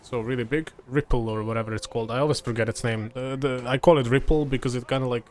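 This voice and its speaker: A young man from Serbia, whose first language is Serbian, giving relaxed, chatty gameplay commentary full of fillers and restarts. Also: mid-range voice, fast.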